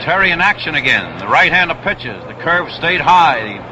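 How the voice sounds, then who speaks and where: A man calling baseball play-by-play on 1960s radio in a measured, explanatory way, repeating himself deliberately. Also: a little high, very animated, muffled recording. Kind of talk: live 1960s radio sports commentary. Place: outdoors at a baseball stadium. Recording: noisy vintage outdoor radio broadcast.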